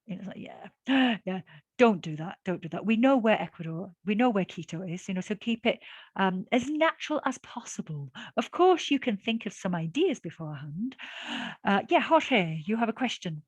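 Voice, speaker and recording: A woman's voice: medium pitch, expressive, moderate pace, husky, no echo. A woman teaching an online exam-prep class, talking in a chatty, encouraging way with many fillers and audible breaths. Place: video call class.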